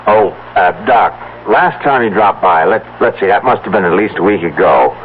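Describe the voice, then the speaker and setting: An American actor playing a plain-spoken farmer and husband in an old-time radio drama, folksy and exclamatory. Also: male voice, on the low side, expressive, moderate pace, slight background noise, lightly acted. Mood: anxious. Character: plain-spoken, dog-loving farmer husband, worried about his wife. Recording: tinny narrow-band vintage radio, music behind.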